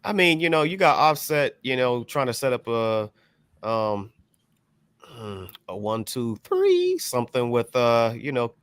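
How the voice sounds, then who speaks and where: A man with a mid-pitched voice chats as a podcast panelist. He is measured and hesitant, feeling his way through casual discussion. The recording is dry, with hardly any room sound. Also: huge pitch swings, a bit bright, clean tone, no echo.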